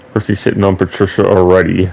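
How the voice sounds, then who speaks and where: A man's voice: low voice, level tone, fast, creaky, a little mellow, muffled recording, a little hiss or hum. A young man host giving rambling, chatty game commentary with trivia asides, his voice a little off from a slight head cold. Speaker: gaming channel host. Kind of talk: casual gameplay commentary.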